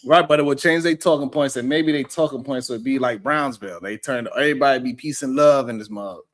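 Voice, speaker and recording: A man's voice: medium pitch, fairly quick, dry recording, studio quality. A man hosting a live stream in casual chat, telling loose slangy stories and laughing often.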